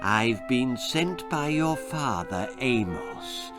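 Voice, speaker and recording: A gentle old snatcher of children from a grisly storybook , performed by a male reader. He speaks very deliberately in a soft, soothing tone with a sinister edge, over faint music. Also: medium pitch, lively intonation. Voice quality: soft, whispery. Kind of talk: children's horror storybook reading.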